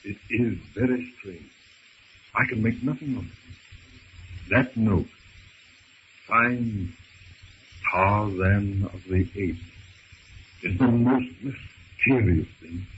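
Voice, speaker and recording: An actor playing an earnest, courteous young gentleman castaway in an old-time radio drama, polite and thoughtful. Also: fairly low pitch, takes it slowly, long pauses. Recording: muffled, hissy old radio recording.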